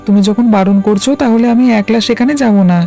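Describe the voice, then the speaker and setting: Woman doing inquisitive cartoon little boy, bit creaky and soft-edged. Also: a little high, fairly quick, soft background music, natural voice. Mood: curious. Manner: childlike, inquisitive. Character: curious, obedient little boy. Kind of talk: cartoon dialogue.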